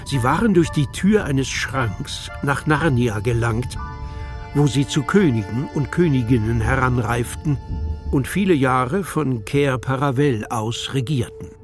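A man narrating a children's audio drama in a measured storybook manner. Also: medium pitch, expressive, music in the background.

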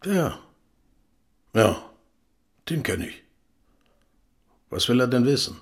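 An actor playing a gruff, guarded older East German father in a radio drama, speaking in terse, halting, plain phrases. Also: medium pitch, big pitch swings. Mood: guarded, blunt.

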